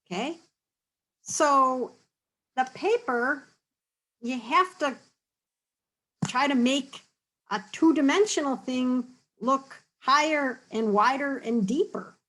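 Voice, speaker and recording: A woman's voice: high-pitched, takes it slowly, pauses often, fairly bright tone, rough recording. A woman reading a picture book aloud to children, stopping to ask questions and explain in a teacherly, encouraging way. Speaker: read-aloud storyteller for children.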